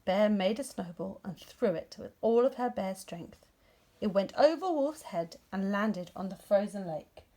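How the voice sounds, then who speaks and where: A woman narrating a story for young children in an expressive storytelling style. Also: medium pitch, a little slow, low-quality recording. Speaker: storybook reader.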